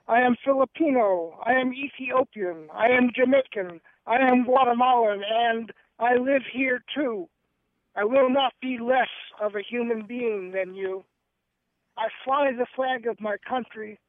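A man's voice: very high voice, dry recording. A man guest on a radio show, talking with hesitant restarts and reading his poem aloud. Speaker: guest poet and activist. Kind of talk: radio interview and poetry reading. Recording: tinny phone line.

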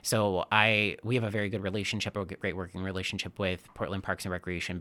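A man in his thirties, originally from Texas, answering interview questions about trail work. His voice sits very low but has a bright, forceful edge. He talks earnestly and often restarts his sentences. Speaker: nonprofit trail stewardship staffer.

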